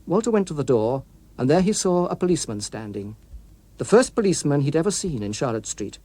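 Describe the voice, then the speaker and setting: A man's voice: a little high, sing-song, fairly quick, dark tone. A man with a British accent narrating a scripted story as a dramatic audiobook reader.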